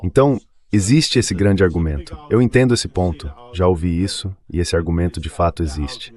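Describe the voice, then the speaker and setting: Deep-voiced synthetic man in political interview. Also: fairly quick, a little mellow. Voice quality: synthetic text-to-speech voice. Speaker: AI dub voice translating an interview. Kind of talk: dubbed political interview, conversational.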